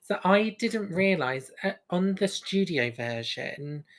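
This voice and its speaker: Female podcast co-host, notably low register, chatty fan talking informally about things she enjoys. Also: animated, slow pace. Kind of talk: casual review chat.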